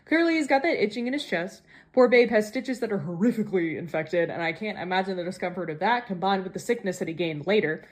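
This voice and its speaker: A woman with a medium-pitched voice speaks as if leaving a prepared message for a fan show. Her delivery is playfully theatrical, with affectionate mock-dramatic phrasing. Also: lively intonation, fast, few pauses. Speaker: podcast listener sending a voice message.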